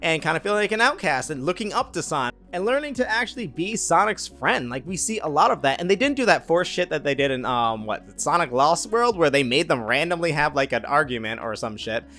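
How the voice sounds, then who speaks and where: Animated, higher-pitched man giving a casual review. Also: fairly quick, few pauses. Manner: animated, exaggerated emphasis, playful slangy asides. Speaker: YouTube movie reviewer. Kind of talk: casual video review to viewers.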